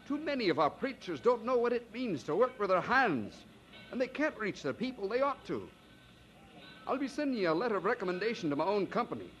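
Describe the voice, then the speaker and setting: An actor playing a kindly, persuasive older cousin who gives thoughtful advice in old-time radio drama style. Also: male voice, sits high. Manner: encouraging, gently probing, thoughtful pauses. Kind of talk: mid-century radio drama acting.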